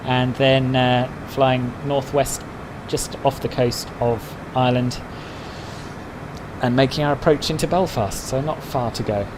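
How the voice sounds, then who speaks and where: A man's voice: mid-range voice, fairly even intonation, unhurried, noisy background. Chatty man streaming a flight sim. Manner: answers viewers' chat messages conversationally. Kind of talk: casual live-stream chat.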